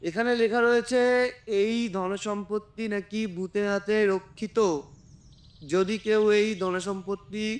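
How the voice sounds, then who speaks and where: A man's voice: high-pitched, lightly acted. A man with a West Bengal Bengali accent playing a patient detective in an amateur web drama. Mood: intrigued. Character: male detective, elder-brother figure, explaining patiently.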